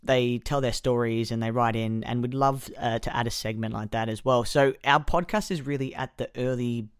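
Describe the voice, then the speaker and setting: Man, mid-pitched, relaxed co-host with ums, dry sound. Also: moderate pace, smooth, no echo. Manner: easygoing, many ums and restarts. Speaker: podcast co-host. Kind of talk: casual chat.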